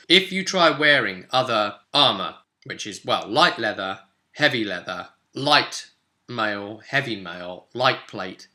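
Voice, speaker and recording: A man's voice: mid-range voice, sharp tone. A man giving a casual, chatty tutorial as a game guide, emphatic and conversational, often adding tag questions. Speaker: tabletop game guide presenter.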